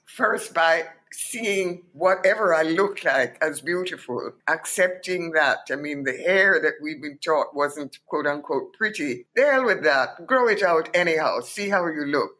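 A Jamaican woman in her eighties, a guest telling her life story in an animated, emphatic way and repeating points for weight. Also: low voice. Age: 80s. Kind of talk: reflective interview storytelling.

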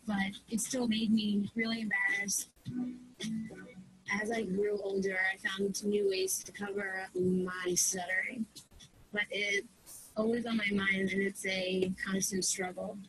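A woman's voice: medium pitch, slightly rough, poor audio. A young woman who stutters, speaking in a hesitant, self-conscious way for a student documentary. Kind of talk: documentary voice-over and scenes.

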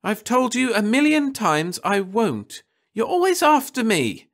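A man's voice: very high voice, wildly swooping pitch, unhurried, a bit bright, pitched above the performer's own voice, heavily acted. A man voicing a sulky, whiny nineteen-year-old girl who wails and pleads. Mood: pleading, whiny. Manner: petulant, wailing.

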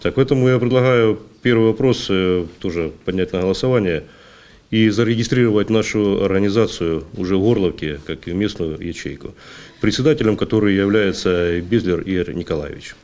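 A man's voice: low voice, fairly quick, darkish tone. A man in his forties formally reading out procedural items as chairman, with hesitations. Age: 40s. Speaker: meeting chairman. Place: meeting hall, seated at a table.

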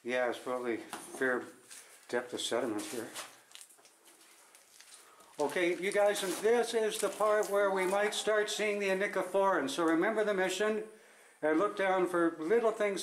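A man's voice: high voice, normal speed. A man leading a caving trip, talking casually as he explores inside a cave. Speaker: cave expedition leader. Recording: echoey cave, audible background noise.

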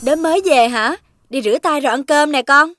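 A woman voicing a caring mother dog in a cartoon, speaking softly. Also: high voice, a little fast, slight background noise. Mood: gentle. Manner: soothing, motherly.

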